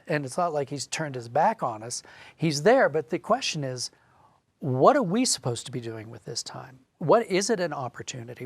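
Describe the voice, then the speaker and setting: A man's voice: fairly high pitch, no echo. A man speaking as an interview guest, telling a story conversationally in an explanatory, emphatic way.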